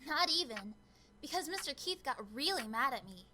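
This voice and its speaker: A woman's voice: high voice, big pitch swings, normal speed, sharp tone, heavily acted. An actress playing an observant young female witness in a game, speaking earnestly and a little hesitantly. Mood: earnest, uneasy. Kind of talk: game drama dialogue. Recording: clear ticking background noise.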